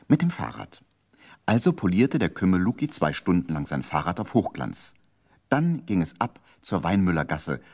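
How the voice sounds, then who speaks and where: A man's voice: low-pitched, a little mellow, exaggerated voice. A man narrating a children's radio play in a playful, dramatic storytelling manner.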